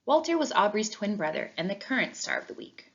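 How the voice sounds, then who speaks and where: A woman reading a children's storybook aloud in an expressive narrating style, on a dull lo-fi recording. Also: medium pitch, a little fast. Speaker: storybook reader.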